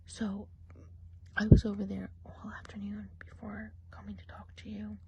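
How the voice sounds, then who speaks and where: A woman's voice: medium pitch, completely flat delivery, normal speed, heavy background noise, close to an everyday voice. Woman playing a whispering, gossipy witch of the woods. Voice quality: soft, breathy whisper. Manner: hushed, chatty, conspiratorial gossip. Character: gossipy friendly witch of the woods giving eye exam. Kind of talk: whispered ASMR role play.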